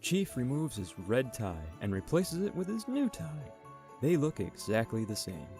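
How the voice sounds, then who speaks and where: A man acts as a dramatic, playful narrator of a radio adventure story. His mid-pitched voice moves with lots of variation over clearly audible music. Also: sing-song, a little slow, darkish tone. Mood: storytelling. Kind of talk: scripted audio drama narration.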